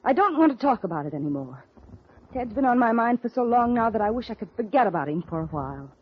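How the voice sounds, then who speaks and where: An anguished young heroine of 1940s radio drama. Her voice is high and swings widely with emotion. It comes through a dull, vintage radio recording. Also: sing-song, quick pace, husky. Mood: distressed, weary. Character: anguished young woman waiting for her missing foster brother.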